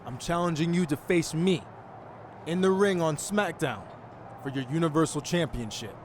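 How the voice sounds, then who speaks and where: An actor playing a cocky, determined young pro wrestler in a video game cutscene, speaking with confident challenge. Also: male voice, on the high side, normal speed, a little hiss or hum, lightly acted. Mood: confident, challenging.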